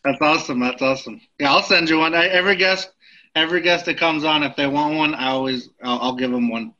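A man's voice: a little high, fast, sharp tone, muffled recording. A man hosting a casual podcast who talks in a chatty way, laughing between phrases. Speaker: podcast host.

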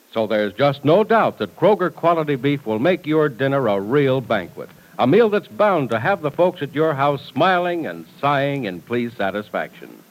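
A man's voice: mid-range voice, rough recording. A man announcing in vintage radio style, with folksy, emphatic and theatrical salesmanship. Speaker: announcer and narrator.

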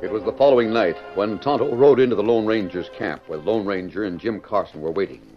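A man's voice: on the low side, quick pace, music in the background, close to an everyday voice. A man with an American accent narrating an old-time radio serial as its announcer, in a formal, dramatic storytelling manner. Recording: muffled vintage radio recording.

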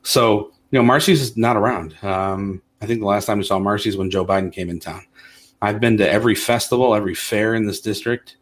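A man's voice: lowish voice, a little fast. A man, a political candidate answering interview questions in a rambling, casual way, full of fillers and restarts. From Toledo, Ohio. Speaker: congressional candidate.

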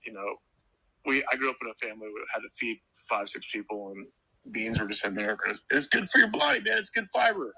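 A man's voice: mid-range voice, animated, fairly quick, gravelly, pushed and bright, slightly rough, dry recording, rough recording. A man from Texas, a guest musician telling laid-back stories in casual interview chat and often dropping "man". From Houston, Texas.

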